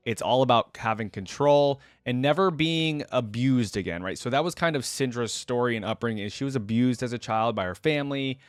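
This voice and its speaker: A man's voice: medium pitch, brisk, hardly any pauses, smooth, dry recording. A man hosting a reaction video, giving casual, conversational commentary straight to his viewers. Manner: informal, conversational, addresses viewers directly.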